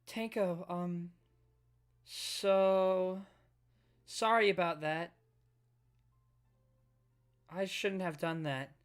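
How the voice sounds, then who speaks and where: A man's voice: sits high, fairly even intonation, extremely slow, pauses often, clean tone. A man streaming a casual live read-through of manga, reacting and commenting as he goes. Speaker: streamer reading manga aloud.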